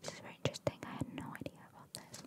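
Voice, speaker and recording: A woman whispering ASMR-style as she reads out trivia and adds informal, musing asides. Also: racing. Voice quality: soft, unvoiced whisper. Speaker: ASMR content creator. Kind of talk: whispered ASMR reading of trivia with casual commentary.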